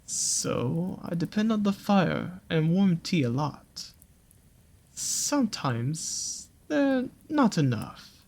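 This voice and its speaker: A performer voicing a seductive, slyly menacing naga monster in an intimate audio roleplay, with a husky, breathy voice and slow, coaxing, teasing phrasing. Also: mid-range voice, sing-song, darkish tone, full character voice. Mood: teasing, tender.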